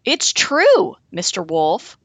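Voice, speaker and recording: Woman as a boastful, excitable storybook goose. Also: fairly high pitch, slow, husky, dry recording, voice pushed up, full character voice. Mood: earnest, scheming. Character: boastful, stubborn, quick-thinking storybook goose.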